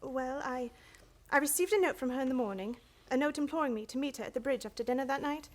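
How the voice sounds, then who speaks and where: A woman playing a quietly confident young woman held prisoner, speaking earnestly and dramatically in a radio play. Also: high-pitched, fast talker, close to an everyday voice. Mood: earnest, wounded.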